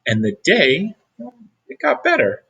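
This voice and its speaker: A man reading a picture book aloud as a teacher, pausing for asides to young listeners. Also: high voice, huge pitch swings, creaky, bright, forceful tone, breathy edge, highs cut off. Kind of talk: read-aloud storytelling.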